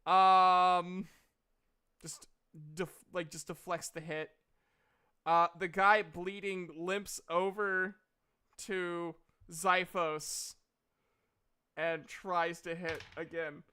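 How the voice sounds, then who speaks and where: A man's voice: sits high, little pitch movement, extremely slow, long pauses, bright, forceful tone, clean tone. A man casually narrating a tabletop game as game master, swearing as he reacts.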